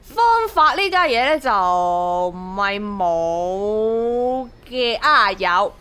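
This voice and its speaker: Bright, wry young Hong Kong woman. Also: mid-range voice, animated, very slow, slight background noise, heavily acted. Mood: careful persuading. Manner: wry, colloquial, gently bossy. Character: practical, teasing young woman scheming for money. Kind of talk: radio drama acting.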